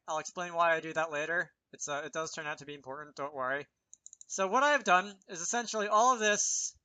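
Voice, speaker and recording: A man's voice: fairly high pitch, pushed and bright, no room sound, rough recording. A man presenting an informal programming tutorial, thinking aloud with self-deprecating asides as he explains. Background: keyboard typing and mouse clicks.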